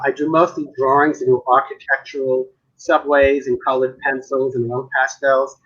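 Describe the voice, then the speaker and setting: A New man chats and tells stories on a live video call, his voice husky and slightly breathy. The audio is a little dull and muffled. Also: mid-range voice, a bit bright. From Manhattan. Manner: chatty storyteller, laughs often. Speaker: artist. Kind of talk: casual live-stream chat.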